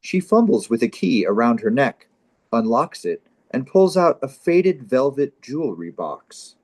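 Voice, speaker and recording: Slow-paced man reading stage directions. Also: mid-range voice, very animated, darkish tone. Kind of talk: scripted narration at a live comedy reading.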